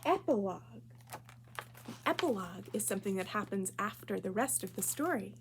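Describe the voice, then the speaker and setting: A woman reading a children's storybook aloud at library storytime, expressive and clearly phrased for young listeners. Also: mid-range voice, a little fast, slight background noise.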